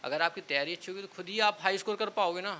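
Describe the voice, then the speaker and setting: A man's voice: high voice, fast talker, bright, forceful tone. A man giving informal exam-prep advice to students, with frequent check-in tags in a colloquial manner. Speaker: exam coaching teacher and channel host. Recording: clear background hiss.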